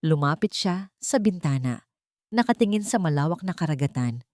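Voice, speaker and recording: A woman's voice: sits low, expressive, moderate pace, darkish tone, no echo, clean studio sound. A woman reading a scripted first-person romance story as its narrator.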